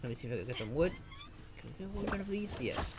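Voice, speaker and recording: A woman streamer giving casual, chatty gameplay commentary, excitable and quick to laugh. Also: low voice, heavy background noise. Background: faint game music.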